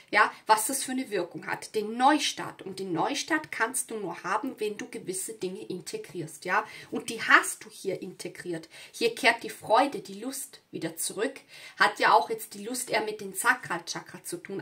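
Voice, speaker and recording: A woman's voice: mid-range voice, sharp tone. A woman from southern Europe talking casually to viewers as a tarot reader, often checking in with small tag words as she goes. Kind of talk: casual spoken card reading to viewers.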